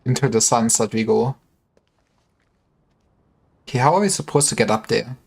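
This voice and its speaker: A man's voice: mid-range voice, normal speed, gravelly, husky. A man giving casual gameplay commentary on a livestream, musing wryly to himself. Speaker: game livestreamer.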